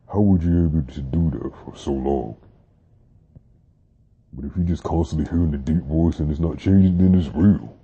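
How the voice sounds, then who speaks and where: A man's voice: a bass voice, husky. A young man talking casually and rambling a little, using slang as he chats to his viewers. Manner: relaxed, rambling, with fillers and slang. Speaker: vlogger. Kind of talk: casual talk to camera about deep voices. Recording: dull lo-fi sound.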